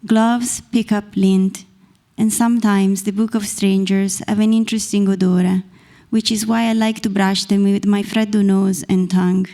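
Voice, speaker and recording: Woman, Italian accent, thirties, deliberate poetry reading, gravelly, mellow, stage stand mic. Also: medium pitch, flat delivery. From Italy. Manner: measured, deliberate reading from a page. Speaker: poet reading her work. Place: small stage in a dark performance venue. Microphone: stand mic close to the mouth.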